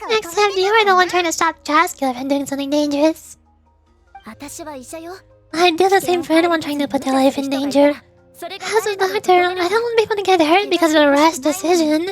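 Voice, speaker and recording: A woman's voice: very high voice, normal speed, faint music behind. A woman voicing a stubborn, dutiful young female doctor from a game, a defensive little sister. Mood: earnest.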